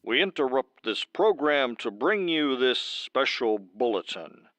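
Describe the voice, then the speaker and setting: An earnest announcer voice, put on by a man, formal and measured, with lively intonation. It has the sound of mock radio delivery, recorded dry and close. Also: medium pitch, dry recording, pitched below the performer's own voice. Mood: urgent, formal. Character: earnest radio announcer with bulletins and bubble gum adverts.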